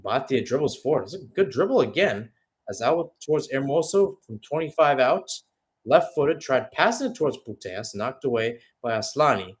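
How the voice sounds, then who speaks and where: A man's voice: medium pitch, expressive, normal speed. A man giving live radio-style football play-by-play, mixing calls of the action with conversational, musing analysis. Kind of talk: live radio sports commentary.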